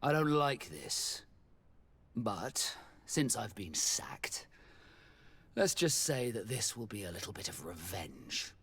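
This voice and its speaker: A man voicing a wary, resentful ship's captain in a video game, with a husky voice. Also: fairly low pitch, big pitch swings, slow, a bit bright, exaggerated voice. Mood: grudging.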